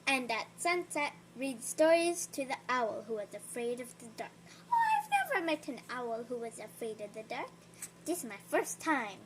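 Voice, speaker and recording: A woman reading a children's picture book aloud, gentle and unhurried, stopping for friendly asides to her listener. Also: high-pitched, wildly swooping pitch, a little hiss or hum, low-quality recording. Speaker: storybook reader.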